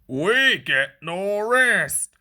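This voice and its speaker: Two weary-of-work storybook steam engines voiced by a woman, male and full of complaint. Every word is drawn out slowly, with a loud, swooping whinge. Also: medium pitch, sing-song, exaggerated voice. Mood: complaining.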